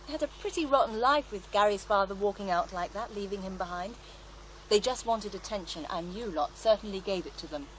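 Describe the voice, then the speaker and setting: An actress playing a serene, devout faith healer in a radio drama, reflective and gently assured as she tells her story. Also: medium pitch, brisk, slight background noise, natural voice, rough recording. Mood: serene conviction. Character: serene devout female faith healer, former child therapist, wistful. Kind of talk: naturalistic radio drama acting.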